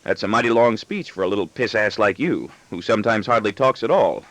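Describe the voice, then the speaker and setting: A man's voice: on the low side, animated, a little fast, fairly bright tone, dry recording. A man playing an exasperated, sardonic father with firm, wry retorts in an audiobook story. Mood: wry, sarcastic. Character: exasperated, sardonic father sparring with his son.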